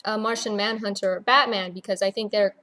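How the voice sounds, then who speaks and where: A woman's voice: mid-range voice, steady tone, fairly quick, sharp tone, a little hiss or hum. A woman giving her opinions in a casual fan discussion as a podcast panelist.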